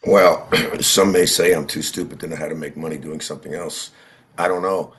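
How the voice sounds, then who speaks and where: Very deep-voiced older man with a cold. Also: steady tone, normal speed, a bit bright, slight background noise. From New Jersey. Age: 60s. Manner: frequent throat clearing. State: head cold. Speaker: business owner guest. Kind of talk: casual interview talk.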